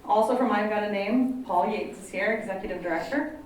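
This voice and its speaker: A woman's voice: mid-range voice, brisk, darkish tone, distant mic, a little hiss or hum. A woman who directs a college counseling program, welcoming an audience and introducing speakers in a friendly, slightly apologetic way. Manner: friendly, apologetic, reads prepared bios. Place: college event room. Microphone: handheld microphone. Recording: very echoey room, voice from afar.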